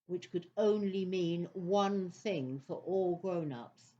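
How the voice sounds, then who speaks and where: A woman narrating a children's story from a script in a theatrical, deliberate manner. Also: lowish voice, dark tone, lo-fi sound.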